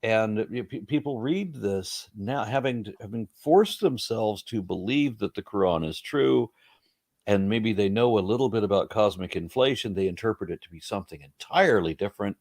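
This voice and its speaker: Male guest, low voice, dry sound, wry debater. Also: animated, moderate pace, a little mellow, dry recording. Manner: wry, argumentative, talks in long explanatory runs. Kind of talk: casual video interview.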